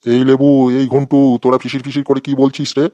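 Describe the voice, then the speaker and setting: A man's voice: medium pitch, monotone, a little fast, dark tone, no room sound, highs cut off, natural voice. A man playing an irritable, sarcastic schoolteacher who threatens his pupils in a comedy cartoon. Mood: stern, mocking. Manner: scolding, sarcastic. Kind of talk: exaggerated comic cartoon dialogue.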